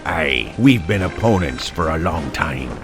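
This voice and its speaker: A man playing a weary, commanding bulldog pirate captain in an audio drama, with a gruff theatrical delivery. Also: a bass voice, expressive, a little slow, music in the background, exaggerated voice.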